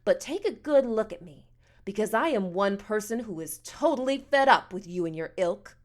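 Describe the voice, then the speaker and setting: A woman's voice: medium pitch, animated, moderate pace, lightly acted. A woman voicing a haughty, self-righteous foster mother in an audiobook, with stilted, formal diction and a pompous manner. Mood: indignant, haughty. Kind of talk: audiobook character dialogue.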